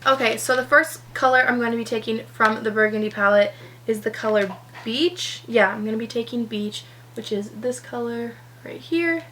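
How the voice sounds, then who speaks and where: Hoarse-ish teen girl vlogger, high-leaning voice, rambling casual chat, faint hum behind. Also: moderate pace, a bit bright. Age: teenager, 17. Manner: rambling, lots of "um" and "like". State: slightly hoarse from a cold. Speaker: beauty vlogger. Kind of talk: chatty get-ready-with-me vlog.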